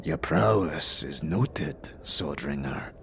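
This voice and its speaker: A man's voice: extremely low pitch, animated, slow pace, creaky, fairly bright tone, full character voice. A man voicing a proud, scarred alien soldier who speaks in grave, formal, archaic phrases in a fantasy roleplay. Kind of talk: tabletop-style fantasy roleplay. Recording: faint background noise.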